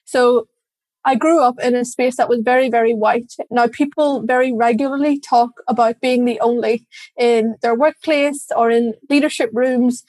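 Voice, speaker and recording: An Irish woman speaks as an interview guest, with a voice a little higher than most. She answers thoughtfully and candidly, sometimes with a light laugh. The recording is dry and close. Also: fairly even intonation, normal speed, a little mellow, dry recording. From Ireland. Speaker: guest, diversity and inclusion director.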